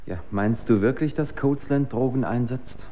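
A man's voice: mid-range voice, normal speed, mellow, a little hiss or hum, lightly acted. An actor playing an idealistic, skeptical male research scientist in a German radio drama. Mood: resigned.

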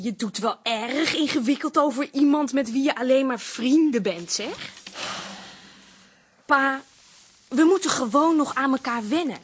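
A woman's voice: high-pitched, a little hiss or hum. A woman playing a frank, curious, independent grown daughter in a radio drama, direct and probing. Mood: firm, gentle. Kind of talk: Dutch radio drama acting.

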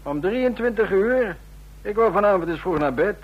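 A puzzled but indulgent boss, with a fairly high, husky voice. He sounds muffled through a videophone filter in a radio play. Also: lively intonation, moderate pace. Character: sleepy male superior, puzzled and mildly indulgent. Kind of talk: radio drama acting.

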